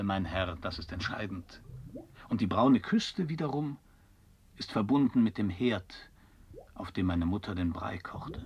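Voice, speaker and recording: An actor playing a stubborn, wordy seafarer in a German radio play, speaking in a dry, formal, pedantic manner. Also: male voice, lowish voice, a little hiss or hum. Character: stubborn, wordy male old seafarer wearing a borrowed head.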